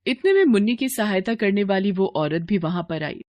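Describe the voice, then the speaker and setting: A woman's voice: medium pitch, animated, brisk, darkish tone. A woman reading a scripted children's moral story as narrator.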